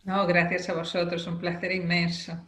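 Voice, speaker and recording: A woman's voice: on the low side, flat delivery, normal speed, a bit bright, roomy sound. A middle-aged woman from Spain, a political scientist explaining her views fluently as an interview guest. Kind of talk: radio interview.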